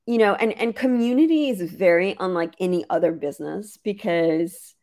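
A woman founder talking as a webinar guest, hoarse from losing her voice, chatty with many fillers and restarts. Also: mid-range voice, moderate pace, a little mellow. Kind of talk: casual webinar conversation.

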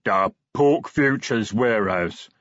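A man voicing a surly troll thug messenger who mumbles slowly and sullenly in a fantasy audiobook. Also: lowish voice, fairly bright tone, dry recording, highs cut off. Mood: sullen, grudging.

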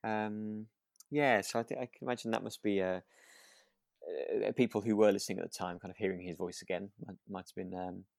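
A man with a creaky, gravelly voice co-hosts a casual podcast. He sounds agreeable and rambles a little, hesitating as he goes. The sound is very dry, with no room around it. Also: fairly low pitch, steady tone, brisk, darkish tone, no room sound. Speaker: podcast co-host. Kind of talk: relaxed casual chat.

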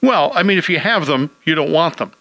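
A man with a fairly high, bright and forceful voice hosts a call-in radio show about homes. He chats casually and throws in wisecracks, and his voice sounds dry and close to the mic. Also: lively intonation, a little fast, no echo, rough recording. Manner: joking, wisecracking.

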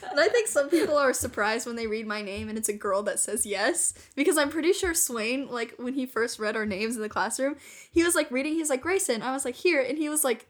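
High-pitched young woman telling stories on a podcast, super animated, keeps laughing. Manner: animated storytelling, bursts into laughter. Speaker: podcast co-host. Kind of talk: casual chat.

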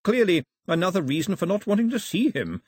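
Urbane, courtly royal official, acted by a male reader, assured, husky, with lively melodic swings. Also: on the high side, normal speed, no echo, studio quality, pitched above the performer's own voice. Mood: smooth, assured. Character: smooth, formal, self-assured male crown official. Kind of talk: audiobook character voice.

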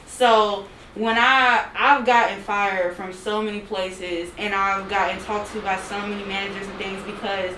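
An emphatic, outspoken young woman talks loudly in a roomy, echoing space. She sits some way from the mic, with steady noise underneath. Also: medium pitch. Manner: emphatic, loud and unapologetic, drawn-out phrasing. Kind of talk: casual group discussion. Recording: echoey room, distant mic, background noise.

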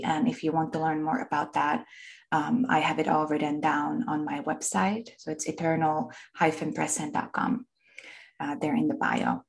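A woman's voice: low-pitched, vocal fry. A woman from Finland with a Finnish accent, earnestly explaining her teaching with many fillers and repeated words for emphasis. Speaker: frequency work teacher. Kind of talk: spoken introduction and teaching.

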